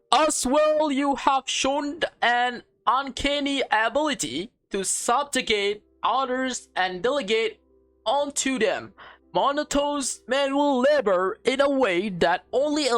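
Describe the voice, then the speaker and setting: A woman's voice: a little high, animated, takes it slowly, a bit bright, soft background music, pitched above the performer's own voice. A woman voicing a pompous alien scientist who addresses a human formally and condescendingly, read from a visual novel.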